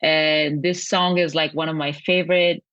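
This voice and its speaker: Low-voiced woman. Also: level tone, a bit bright, no echo, lo-fi sound. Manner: halting, searching for words, laughs easily. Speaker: musical guest. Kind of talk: casual interview chat.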